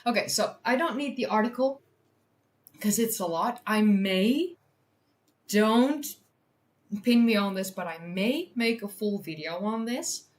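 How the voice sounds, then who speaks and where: A Dutch woman hosting a science livestream, speaking English as a second language with a Dutch accent, reading from a study and chatting to viewers in an excitable, self-deprecating way with casual swearing. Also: mid-range voice, measured pace, pauses often. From Netherlands. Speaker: science communicator and livestream host.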